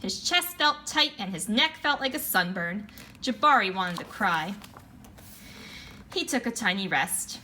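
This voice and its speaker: A woman's voice: mid-range voice, sing-song, unhurried, sharp tone. A woman reading children's picture books aloud as narrator for a story time. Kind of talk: animated children's picture book read-aloud. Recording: faint background noise.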